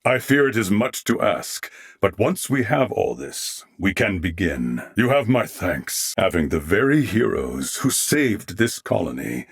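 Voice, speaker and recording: A man playing a courteous, dignified, loyal warrior in a video game, with formal, measured and humble diction. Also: on the low side, big pitch swings, creaky, slightly rough, no echo, clean studio sound, exaggerated voice. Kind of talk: scripted video game character acting.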